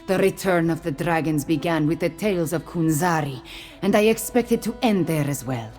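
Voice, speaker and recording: A woman voicing a composed, regal cat-folk queen in a video game, speaking in a measured, formal manner. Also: low-pitched, a little mellow, faint music behind, lightly acted. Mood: measured, reflective. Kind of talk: scripted video game dialogue.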